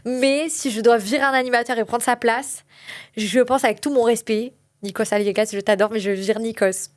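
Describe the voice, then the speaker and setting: A woman in her late twenties talks casually as an interview guest. She pauses often with little fillers as she thinks. The sound is dry and close to the mic. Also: on the high side, steady tone, dry recording. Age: late 20s. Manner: chatty, frequent hesitations. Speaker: reality TV contestant guest.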